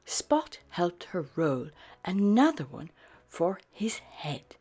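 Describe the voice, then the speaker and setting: A woman narrating a children's storybook in an expressive, unhurried read-aloud style. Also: fairly low pitch, breathy edge. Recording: music behind the voice.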